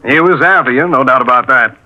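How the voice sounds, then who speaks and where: Hard-boiled inspector, very sharp bright voice. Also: male voice, medium pitch, fast, highs cut off. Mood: relaxed, explaining. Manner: laconic, dry, teasing. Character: dry, hard-boiled male police inspector, unhurried. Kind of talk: 1950s radio crime acting.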